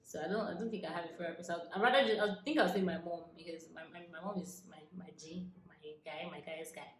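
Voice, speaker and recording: A woman's voice: lowish voice, fast talker. Chatty young Nigerian woman in echoey room. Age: 20s. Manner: chatty, laughs often, drifts into soft asides. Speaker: vlogger. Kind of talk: casual Q&A chat to camera. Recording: echoey room with faint noise.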